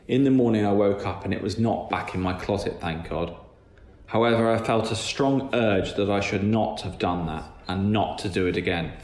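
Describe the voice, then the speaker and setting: A man's voice: lowish voice, normal speed, darkish tone. A man reading a scripted first-person creepy story aloud as a narrator. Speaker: guest story reader. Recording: echoey room with background noise.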